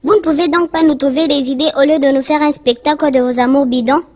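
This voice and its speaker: A woman's voice: sits high, steady tone, quick pace, natural voice. A woman playing the directive young leader of a gang of kids in a cartoon. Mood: commanding. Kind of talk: animated cartoon dialogue in French. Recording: loud music bed behind voice.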